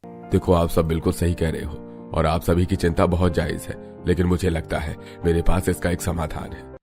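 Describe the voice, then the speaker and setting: A man playing a calm, practical village headman in a cartoon story, explaining in a measured way. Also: deep voice, over music. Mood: reassuring. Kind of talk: Hindi cartoon story acting.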